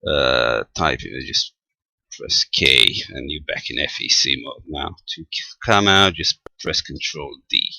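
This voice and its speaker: A man giving an informal technical demonstration as a presenter, halting and thinking aloud as he goes. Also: a bass voice, vocal fry, sharp tone, no room sound. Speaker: amateur radio tutorial presenter. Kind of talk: informal spoken software demonstration.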